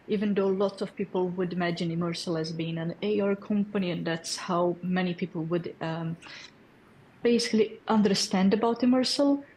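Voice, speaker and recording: A woman's voice: mid-range voice, darkish tone, slight background noise, low-quality recording. A woman in her thirties from Brazil, a native Portuguese speaker with a Brazilian accent, presenting a developer tool in a friendly, explanatory way with fillers and check-in questions. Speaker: developer community lead presenting at a hackathon. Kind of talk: technical presentation.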